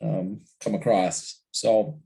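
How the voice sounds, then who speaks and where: A man speaking informally at a volunteer meeting in an earnest, halting way. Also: lowish voice, steady tone, creaky, dark tone, poor audio.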